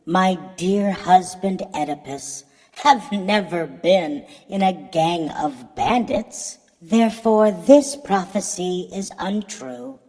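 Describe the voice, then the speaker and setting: Soothing low-voiced queen played by a woman, reverberant. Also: expressive, takes it slowly, mellow. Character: calm, soothing queen reassuring her husband.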